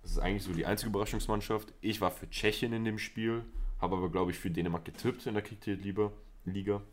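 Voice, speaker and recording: A man's voice: on the low side, fairly even intonation, fast, a little hiss or hum. A man co-hosting a podcast, chatting casually with a wry, joking manner. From Southern Europe. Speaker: podcast co-host. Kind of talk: casual chat.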